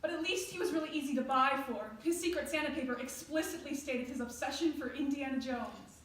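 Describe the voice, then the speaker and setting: An actress playing an exasperated young single romantic in a stage play, with a dramatic, emphatic delivery. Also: female voice, high voice, brisk, a bit bright. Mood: breathless, chatty. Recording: very echoey room, distant mic, faint noise.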